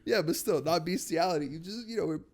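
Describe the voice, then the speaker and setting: A man's voice: a little high, fast, background noise. A young man co-hosting a podcast in casual chat, joking and laughing, sometimes getting stuck on words. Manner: joking, laughs a lot, gets hung up on words. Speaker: podcast co-host.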